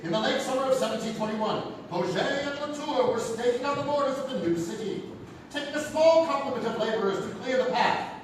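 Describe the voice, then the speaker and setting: Echoey, distant radio-play part: actor as ominous archivist, male, high voice, deliberate storyteller. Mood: ominous, welcoming. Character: theatrical older French keeper of secret city records. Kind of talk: live radio drama storytelling. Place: live theatre stage. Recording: echoey theatre, far mic, noisy.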